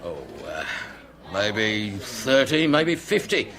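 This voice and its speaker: An actor playing a gossipy, crude working-class cafe regular who jokes cheerfully in a colloquial, droll way, in a British radio drama. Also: male voice, on the low side, big pitch swings, takes it slowly, fairly bright tone, exaggerated voice.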